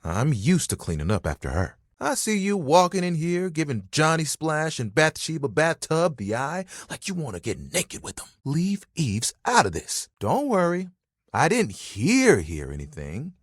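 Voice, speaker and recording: Bashful young towel guy, dating game character, punning and rambling, husky edge, dry close recording. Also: on the high side, wildly swooping pitch, unhurried, dry recording, heavily acted. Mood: earnest, awkward. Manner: rambling, self-conscious, casual, punning. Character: talking bath towel, bashful, eager, laid-back young guy. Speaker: video game voice actor.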